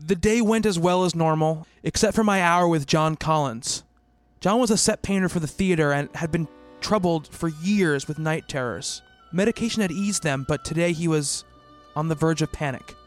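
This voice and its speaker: A man playing an earnest psychiatrist who narrates his own story in a radio play, in a measured, gentle, literary manner. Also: a little high, soft background music, lightly acted. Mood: measured, reflective.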